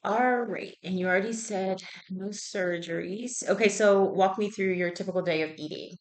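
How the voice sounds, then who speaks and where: A woman's voice: medium pitch, normal speed. A woman conducting a clinical intake interview as a health professional, conversationally checking and repeating answers. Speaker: health professional taking a patient history.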